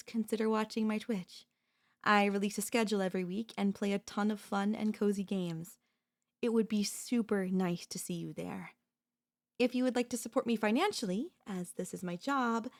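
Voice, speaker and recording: Woman reading a scripted channel announcement. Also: medium pitch. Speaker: voice actor and streamer introducing her audio.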